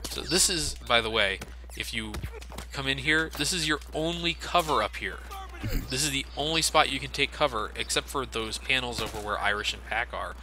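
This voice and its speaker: A young man giving relaxed, casual commentary over a game, swearing freely and laughing often. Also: medium pitch, moderate pace. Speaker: let's play commentator. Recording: game gunfire noise behind. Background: game gunfire and ambience.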